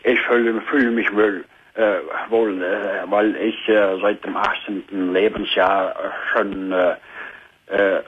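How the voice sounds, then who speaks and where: Man, 50s, Dutch accent, low husky voice, halting, phone line. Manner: halting, searching for words. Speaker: caller.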